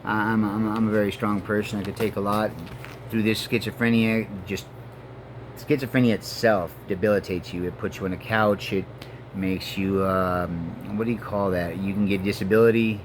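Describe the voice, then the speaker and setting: A man in his forties from Mexico and Colorado, a native Spanish speaker, talking in a halting, earnest personal monologue full of fillers and restarts. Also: fairly low pitch, steady tone, noisy background. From Mexico and Denver, Colorado. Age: 40s. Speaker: vlogger. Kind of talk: rambling personal monologue to camera.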